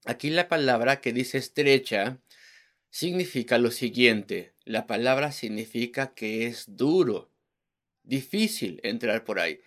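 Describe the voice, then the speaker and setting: A man's voice: mid-range voice, fairly bright tone. A man preaching an evangelistic sermon, driving his points home with rhetorical questions and repeated words in lists.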